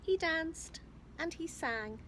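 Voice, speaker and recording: Female storyteller for young children, unhurried and very lively in intonation, expressive delivery, noisy recording. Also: very high-pitched. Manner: expressive, emphatic storytelling with questions to listeners. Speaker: infant school storyteller. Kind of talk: reading a picture book aloud to young children, with asides.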